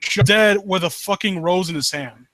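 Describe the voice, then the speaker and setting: Higher-voiced man, rambling live stream host. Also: fairly bright tone. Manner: rambling, halting, often rephrasing himself. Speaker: live stream host reading and critiquing amateur horror stories. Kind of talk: story read-aloud and casual commentary.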